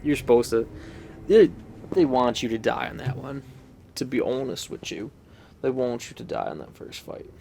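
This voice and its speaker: A man's voice: medium pitch, normal speed, creaky, warm tone, a little hiss or hum. A man giving casual, rambling, unscripted commentary while playing a video game. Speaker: gaming video host.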